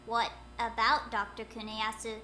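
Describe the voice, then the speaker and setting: A woman's voice: a little high, level tone, a little slow, pushed and bright, reverberant, slight background noise. A woman voicing a stiff, courteous non-human being who speaks in a formal, proper way. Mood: shocked.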